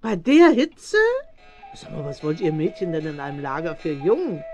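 A motherly farmer's wife frets and fusses in a children's radio play. Her voice sits fairly low but swings widely, plaintive and full of emotion. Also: very animated, moderate pace, over music. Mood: fussing, motherly. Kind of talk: German radio play acting for young listeners.

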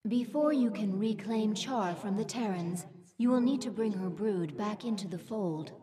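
An actress playing a composed female alien adviser in a video game, delivering mission briefings in a formal, measured manner. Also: medium pitch, crowd noise, close to an everyday voice. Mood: informative.

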